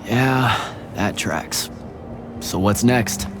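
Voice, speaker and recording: An actor playing a young, wry and earnest hero mage in a video game cutscene, speaking with casual, hesitant banter. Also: male voice, medium pitch, extremely slow, noisy background.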